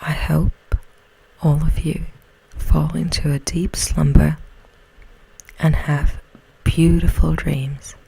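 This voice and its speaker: A woman narrating an ASMR bedtime story in a gentle, slow, soothing, breathy whisper. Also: low voice, level tone, poor audio.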